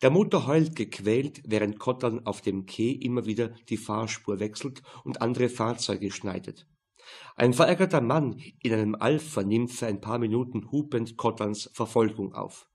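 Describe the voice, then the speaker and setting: A man with an Austrian accent narrating a crime novel in a matter-of-fact, slightly husky voice. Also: medium pitch, normal speed, a little mellow. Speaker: audiobook narrator.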